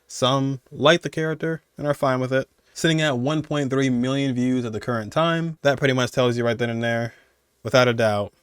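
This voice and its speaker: A man's voice: mid-range voice, moderate pace, a little hiss or hum. A man in his twenties giving casual, rambling commentary as he hosts a video countdown. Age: 20s. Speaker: video host. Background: music under the voice.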